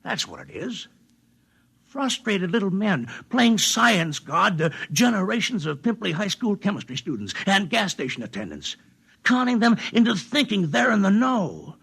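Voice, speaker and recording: Husky, domineering old boss, sharp-edged. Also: male voice, high voice, heavily acted. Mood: scornful. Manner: sardonic, commanding, theatrical. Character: fat old cynical, domineering boss, smugly scheming. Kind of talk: 1950s radio drama acting. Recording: 1950s radio broadcast recording.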